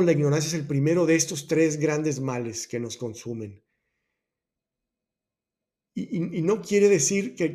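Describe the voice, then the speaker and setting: A man's voice: on the high side, warm tone. A man hosting a personal growth talk, explaining Buddhist ideas in a thoughtful way, often restarting and correcting himself. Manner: thoughtful, self-correcting, many restarts and asides. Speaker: host of a personal growth podcast.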